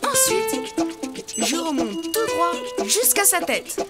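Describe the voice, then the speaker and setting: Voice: very high voice, sing-song, takes it slowly, exaggerated voice. A performer voicing a cheerful, helpful young child who explains things encouragingly in a preschool cartoon. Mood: instructive. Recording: music behind the voice.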